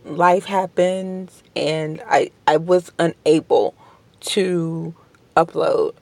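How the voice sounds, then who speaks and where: A woman hosts her podcast alone from home, her voice strained because she is under the weather. She talks slowly and politely, with lots of hesitations and restarts, in a gravelly voice with vocal fry. The sound is dry and close to the mic. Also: sits low, fairly even intonation, darkish tone, no room sound. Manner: slow, hesitant, many ums and restarts; polite and apologetic. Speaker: podcast host. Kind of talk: casual solo podcast monologue. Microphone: home podcast microphone.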